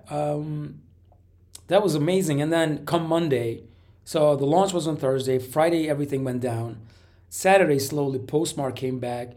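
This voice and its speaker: A man with a raspy voice from a cold, coughing now and then, giving a rambling casual update to his viewers. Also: mid-range voice, darkish tone. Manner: rambling, many fillers and restarts. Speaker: startup founder.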